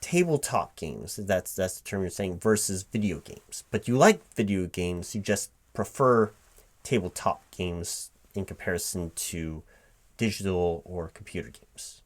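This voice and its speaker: A man speaking aloud in a one-sided job interview ASMR roleplay as a recruiting manager, halting and puzzled, often repeating things to check them. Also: deep voice, expressive. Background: computer keyboard typing.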